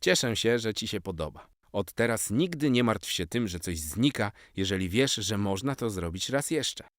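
A man voicing a loving, playful father of a young boy in a children's story, warm and reassuring. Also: on the low side, animated, moderate pace, no echo, studio quality.

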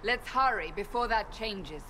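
A woman playing a stern, urgent female warrior in a video game, brisk and commanding. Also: mid-range voice, lively intonation, background noise, lightly acted. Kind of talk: video game cutscene acting.

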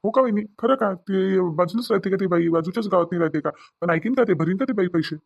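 Man acting a high-voiced, fast, scolding village husband. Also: creaky, lo-fi sound. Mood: doubtful hope. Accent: rural Vidarbha Marathi. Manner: scolding, repetitive rhetorical questions. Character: hot-tempered, worried village husband short of money. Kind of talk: amateur Marathi village family drama.

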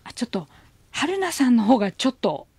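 A woman's voice: a little high, very animated, a little fast, gravelly, noisy background. A middle-aged woman co-hosting a radio show, telling stories casually in a polite, slightly hesitant way. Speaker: radio show co-host, comedian. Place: radio studio.

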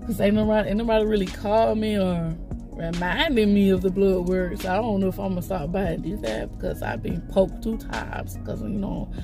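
A woman, chatting to her phone camera from a parked car. She sounds congested and rambles, with lots of fillers and restarts. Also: medium pitch. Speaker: vlogger. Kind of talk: casual vlog chat to camera. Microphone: phone camera held close.

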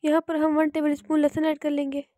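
A woman's voice: high voice, monotone, fast talker, mellow, no echo, clean studio sound. A woman hosting a cooking channel, narrating a recipe step by step in a plain, repetitive instructional voice-over.

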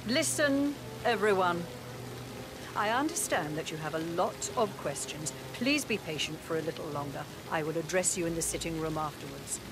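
An actress playing an impatient, older aristocratic matriarch, curt and commanding. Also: female voice, lowish voice, lively intonation, normal speed, noisy background, lightly acted. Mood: stern, impatient.

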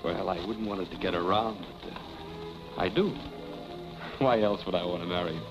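An American actor playing a flippant, carefree husband with dry, glib delivery in a 1940s film. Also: male voice, deep voice, moderate pace, music in the background. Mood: teasing. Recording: dull 1940s film soundtrack.

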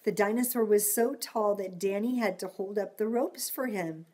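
A woman's voice: medium pitch, moderate pace, a little mellow. A middle-aged woman reading a storybook expressively to children, with simple chatty asides and questions. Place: living room at home.

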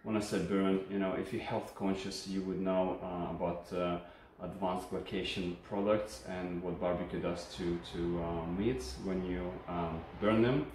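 A man with a Ukrainian accent talks through a casual home-kitchen review, friendly and explanatory, often pausing on fillers. His fairly low voice bounces around a hard, very echoey kitchen. A phone camera set some distance away picks him up over a faint background hum. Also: steady tone, darkish tone. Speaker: channel host reviewing a kitchen appliance. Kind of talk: casual unscripted product review.

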